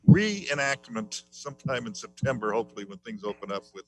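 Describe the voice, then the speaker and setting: A man's voice: lowish voice, lively intonation, brisk, music in the background, rough recording. A middle-aged or older man chatting casually and sharing news in an online club meeting. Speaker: club member. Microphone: video call microphone.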